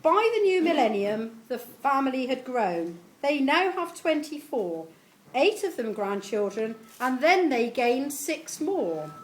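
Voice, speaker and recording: High-pitched woman reading slowly. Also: big pitch swings, low-quality recording. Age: middle-aged. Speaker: family member reading a tribute poem at a party. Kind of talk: reading a homemade rhyming poem aloud to guests. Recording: live event recording with clear background noise.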